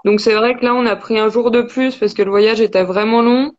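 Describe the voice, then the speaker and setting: A woman's voice: a little high, fairly even intonation, fairly quick, a little mellow. Woman, twenties, casual interviewee, muffled lo-fi call audio. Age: 20s. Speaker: athlete being interviewed, cross-country skier. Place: indoors in a wood-panelled room. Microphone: video call, device at arm's length. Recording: dull lo-fi video call sound.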